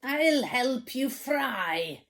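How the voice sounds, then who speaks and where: A woman reading aloud and voicing a fussy, hungry king who speaks in slow, emphatic lines. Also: high-pitched, animated, fairly bright tone, voice pushed up, heavily acted. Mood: steady. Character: fussy hungry king, grumpy then pleased.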